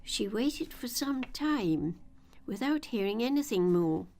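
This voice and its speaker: Older woman, children's audiobook narration, expressive, unhurried pace, lively intonation. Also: on the high side. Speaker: storyteller, audiobook reader.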